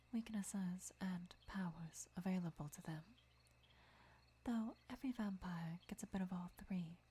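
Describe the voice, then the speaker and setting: A woman playing a gentle, devoted vampire lover who teaches patiently in a breathy, measured whisper for an ASMR roleplay. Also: lowish voice, heavily acted. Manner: measured, deliberate, soft-spoken explaining.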